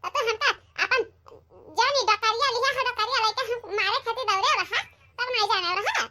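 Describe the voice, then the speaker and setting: A mischievous young village boy from a cartoon, teasing in a sing-song Awadhi accent, voiced by a woman. The voice is piercingly high and bright. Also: brisk, full character voice. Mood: mocking. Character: cheeky young village boy, mocking and singing. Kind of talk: cartoon voice acting.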